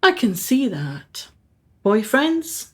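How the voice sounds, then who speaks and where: An actress playing a haughty, self-absorbed successful painter in a radio play, arch and condescending. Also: female voice, fairly high pitch, big pitch swings, drawn-out words, heavily acted. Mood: cool curiosity.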